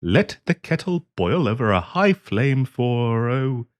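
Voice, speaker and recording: A man's voice: medium pitch, very animated, slow. A man playing a polite, hesitant half-dragon diplomat in a fantasy roleplay audio, genteel and eager to please as he hosts the listener. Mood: delighted, bashful. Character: polite, flustered half-dragon diplomat hosting tea in his cave.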